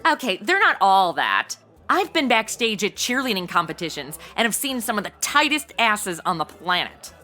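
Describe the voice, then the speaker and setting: A woman's voice: medium pitch, very animated, normal speed, bright, forceful tone, soft background music. A woman playing a sassy, shallow young party girl sidekick in a comedy audio drama, sarcastic and blunt, with modern slang. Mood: snarky.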